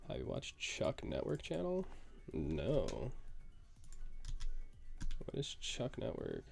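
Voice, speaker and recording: A man streaming himself coding, thinking aloud in a casual, halting way with many fillers, sounding a little nasal from a cold. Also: on the low side, wildly swooping pitch, gravelly, darkish tone, breathy edge, faint music behind. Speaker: live-streaming programmer. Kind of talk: casual live coding chat.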